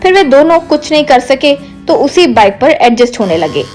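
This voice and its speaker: A woman's voice: high-pitched, big pitch swings, moderate pace, darkish tone, soft background music. A woman narrator reading a scripted story.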